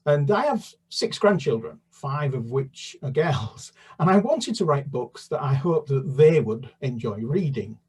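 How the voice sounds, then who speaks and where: An older man talks in a husky, breathy voice, heard from some distance off the mic. He chats casually and hesitantly as a children's author, full of fillers and restarts. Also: mid-range voice, very animated, a little slow, few pauses, darkish tone. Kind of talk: casual interview chat.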